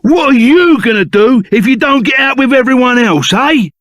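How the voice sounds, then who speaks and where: An actor playing a rough, loyal, excitable henchman in a video game, with a gravelly voice, a Cockney accent and blunt, chummy, slangy talk. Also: very high-pitched, moderate pace, dry recording, clean studio sound. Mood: gruff, indignant. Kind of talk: video game character voice acting.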